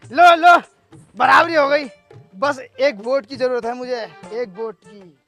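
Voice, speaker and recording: A very high-pitched male village braggart , acted by a man in a broad comedy sketch. He is crude, argumentative and quick to taunt, with music clearly playing behind him. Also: pushed and bright, full character voice. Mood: insistent. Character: hot-headed, boastful village man feuding over a loudspeaker.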